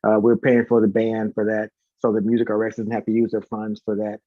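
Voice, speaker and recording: A man's voice: lowish voice, completely flat delivery, brisk, no echo, phone-quality sound. A man chatting casually and informally as an interview guest, talkative and prone to repeating words for emphasis. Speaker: guest, singer and concert organizer.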